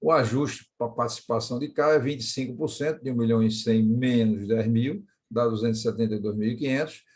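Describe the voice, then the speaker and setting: Male Brazilian lecturer, medium pitch, didactic, explanatory delivery with frequent checking tags. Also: a little fast, darkish tone. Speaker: accounting lecturer. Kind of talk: explanatory lecture, working through examples.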